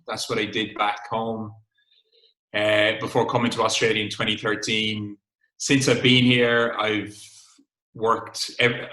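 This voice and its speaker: A man with a Cork accent guides a webinar over a video call. His voice is fairly low, with a bright, forceful edge. He sounds calm and inviting, and the room around him rings with echo. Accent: Cork Irish. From Ireland. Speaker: workshop facilitator. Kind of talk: webinar presentation.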